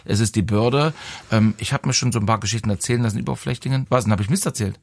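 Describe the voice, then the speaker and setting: Fast-talking radio host in his forties, male. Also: on the low side, creaky, a little mellow. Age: 40s. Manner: playful, teasing, talkative. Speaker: radio morning show host. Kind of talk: chatty live radio presenting. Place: radio studio. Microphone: close studio microphone.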